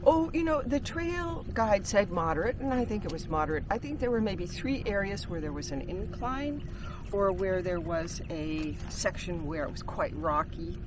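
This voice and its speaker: Middle-aged woman, hiking companion, chatty and upbeat, filmed by someone else, clear outdoor noise behind. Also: medium pitch, animated, moderate pace. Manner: chatty and upbeat, pointing out plants. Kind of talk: casual chat. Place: outdoors on a lakeside trail. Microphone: camera held by someone else.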